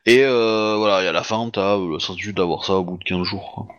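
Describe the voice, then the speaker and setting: A man with a fairly low voice narrating a tabletop roleplaying game as its game master. He speaks casually, dropping plenty of "euh" and some slang. He often stops to restart his sentences. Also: fairly even intonation, brisk, fairly bright tone, highs cut off, slight background noise. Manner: hesitant, many fillers, casual slang.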